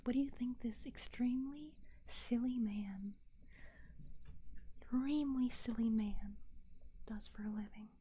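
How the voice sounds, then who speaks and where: A woman livestreamer chatting casually with her viewers in a soft, breathy ASMR whisper, playful and self-deprecating, into a binaural microphone. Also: a little high. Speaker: livestreamer, ASMR roleplay host.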